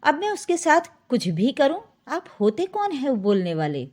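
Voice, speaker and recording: A woman's voice: high voice, huge pitch swings, moderate pace, darkish tone, full character voice. A woman voicing an angry, possessive young husband, defiant and shameless, in a dramatic story. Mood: brazen defiance.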